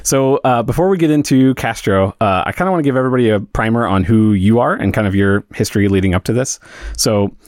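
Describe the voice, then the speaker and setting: A man's voice: on the low side, quick pace, no room sound, clean studio sound. A man hosting a podcast interview, asking curious, loosely phrased questions full of fillers. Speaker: podcast host.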